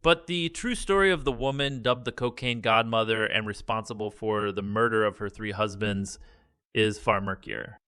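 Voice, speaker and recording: A man reading a scripted news report in a measured, even delivery. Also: on the low side, smooth, no echo, studio quality. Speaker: news narrator.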